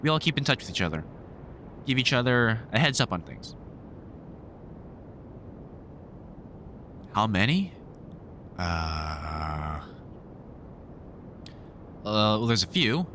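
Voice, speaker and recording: Over a slight rumbling background comes a fairly low male voice, a man acting. He is a weary, kindly vampire hunter in an audio drama, mentoring a newcomer. He speaks informally and haltingly, with dry humour. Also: very animated, bright, forceful tone, a little hiss or hum, exaggerated voice. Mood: explaining, casual. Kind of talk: audio roleplay, conversational monologue to the listener.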